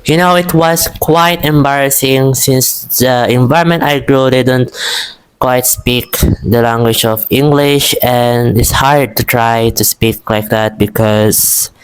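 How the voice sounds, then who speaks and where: A man's voice: mid-range voice, takes it slowly, smooth, poor audio. A young man from the Philippines with a Filipino accent, chatting casually and encouragingly to camera about learning English, rambling with frequent restarts. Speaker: vlogger.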